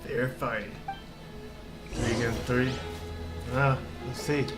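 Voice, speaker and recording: Male game streamer over loud music. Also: medium pitch, flat delivery, takes it slowly. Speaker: game livestreamer. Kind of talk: casual live commentary on a game.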